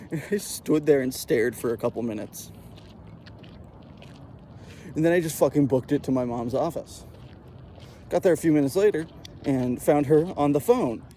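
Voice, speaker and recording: A man's voice: a little high, a little hiss or hum. A man telling personal stories in a casual, profane, comedic way, acting out the dialogue as he goes. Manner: profane, animated retelling with acted dialogue. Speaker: storyteller.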